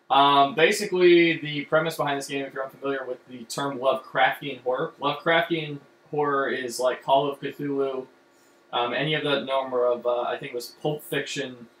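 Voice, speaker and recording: A man's voice: mid-range voice, fairly bright tone. A man streaming from home, casually explaining a card game and rambling with many fillers and restarts. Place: room at home. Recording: echoey room with faint background hum.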